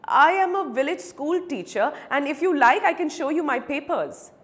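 A woman's voice: fairly high pitch, expressive. A woman voicing a ragged, humble male beggar in a dramatic story reading, pleading and evasive. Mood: flustered, defensive. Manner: plaintive, halting when challenged.

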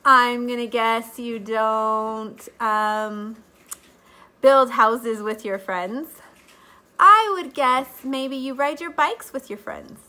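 Woman, thirties, children's Bible lesson, sing-song teacher voice, slightly stuffy, high and bright. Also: fairly even intonation, slow. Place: living room at home. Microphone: webcam or phone camera at close range.

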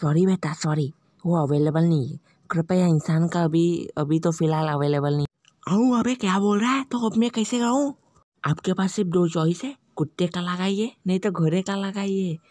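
A man's voice: high voice, normal speed, a little mellow, dry recording, clean studio sound, natural voice. A man doing a glib mock-solemn cartoon doctor.

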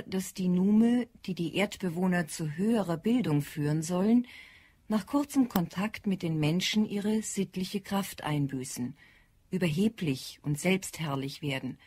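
Casting: female narrator for radio play, formal scripted delivery, lowish register with husky grain. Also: a little mellow. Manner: sober, formal. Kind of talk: scripted audio drama narration.

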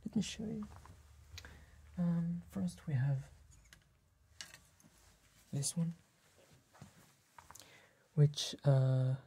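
A young man performs an ASMR tailor roleplay in a breathy, mellow whisper. He speaks very slowly and politely, with measured gentleness. The recording is close, with faint noise behind him. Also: on the high side, expressive. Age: young adult. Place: small indoor tailor workshop set.

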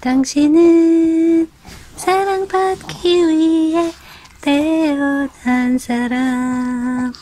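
Woman, high and mellow, singing a soft lullaby. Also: fairly even intonation, brisk, a little hiss or hum. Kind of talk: gentle sung lullaby.

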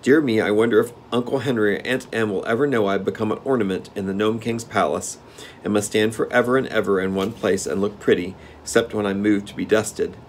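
A man's voice: fairly low pitch, fairly even intonation, a little fast, a little hiss or hum, natural voice. A man voicing an earnest, plucky little farm girl in a plain-spoken storybook manner. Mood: resigned.

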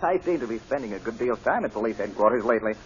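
Through the hiss of a muffled vintage broadcast comes a man acting a suave, cold-blooded killer. His medium-pitched voice swings widely, mocking and theatrical, in old radio-play style. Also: lively intonation, racing, lightly acted. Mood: smugly indignant. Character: smug, cold-blooded male murderer, suavely mocking gentleman villain. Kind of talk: 1940s radio drama acting.

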